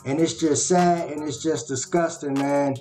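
Guy doing a reaction vid at his desk webcam, kinda high voice, earnest, says man a lot, faint music under it. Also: steady tone, a little slow, warm tone. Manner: earnest, repetitive, peppered with "man" and "y'all". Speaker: reaction channel host. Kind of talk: casual reaction commentary. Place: small home room.

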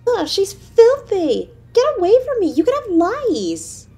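A woman's voice: extremely high pitch, huge pitch swings, unhurried, dark tone, voice pushed up, full character voice. A woman voicing a cold-hearted, disgusted female passer-by in an audio drama, snobbish and sneering.

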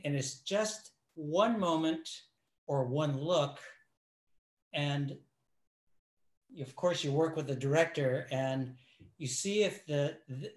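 Slow, measured older man. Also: fairly high pitch. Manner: measured, thoughtful. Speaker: film producer and editor. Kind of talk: reflective Q&A answer. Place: living room at home. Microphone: laptop webcam on a video call. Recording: roomy video call audio.

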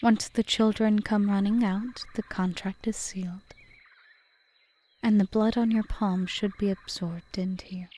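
A woman playing a cunning, possessive, seductive witch in an audio roleplay, speaking in a formal, measured, softly whispery way. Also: medium pitch, fairly even intonation, natural voice. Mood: calm, solemn. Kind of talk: ASMR audio roleplay, scripted monologue.

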